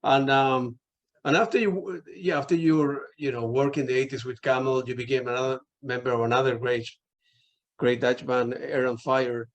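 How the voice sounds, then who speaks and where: A man's voice: mid-range voice, steady tone, moderate pace. A man, interviewing a guest in a casual chat, asking long rambling questions with fillers. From Chile.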